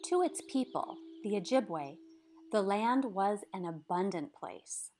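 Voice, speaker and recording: A woman narrating a storybook aloud in a measured, expressive way, with dramatic pauses. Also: medium pitch. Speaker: storybook reader. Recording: music behind the voice. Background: background music.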